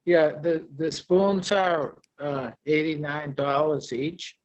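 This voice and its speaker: A man presenting at a virtual conference and answering questions, halting with many fillers. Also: on the high side, steady tone, muffled recording. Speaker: presenter, product seller. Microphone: video call.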